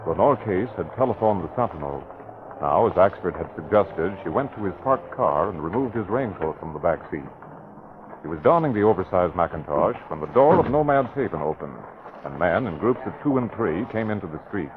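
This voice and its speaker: A man narrating a radio drama in a measured, dramatic storytelling style. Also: sits low, lively intonation, noisy background. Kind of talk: scripted narration. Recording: tinny old radio broadcast.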